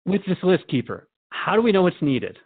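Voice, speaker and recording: Male actor as inquisitive radio host, fast pace, conversational, respectful, radio drama interview. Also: high-pitched, big pitch swings, slightly rough, narrow, tinny audio, heavily acted. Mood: curious, earnest.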